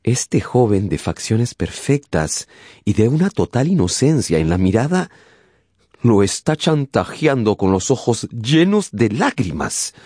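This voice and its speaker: A man narrating a radio novel dramatically, with deliberate, suspenseful phrasing. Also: on the low side, big pitch swings, mellow, husky, no echo, studio quality.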